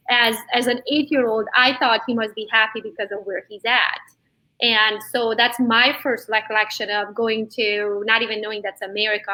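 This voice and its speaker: A woman around forty with a bright, fairly high voice, Hungarian by first language and raised in Transylvania. She tells stories in a lively way and laughs often as an interview guest. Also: low-quality recording. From Transylvania, Romania. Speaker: real estate agent guest.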